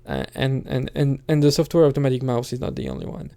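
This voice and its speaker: A man speaks in a relaxed, dark-toned voice with plenty of vocal fry. He sits at a middling pitch and rambles casually, like a streamer alone on his channel. His delivery is halting and hesitant as he feels his way toward each thought. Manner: hesitant, halting, thinking aloud. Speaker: streamer and musician talking on own channel. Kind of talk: casual rambling solo talk.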